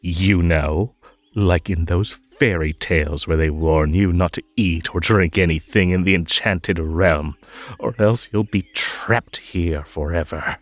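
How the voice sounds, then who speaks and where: A man playing a possessive magical tea-party host in an audio roleplay, over-polite and halting, with a menacing, unhinged edge under the sweetness. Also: low-pitched. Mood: coaxing. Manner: halting, drawn-out, over-polite, swinging between coaxing and stern. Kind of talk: scripted roleplay audio drama.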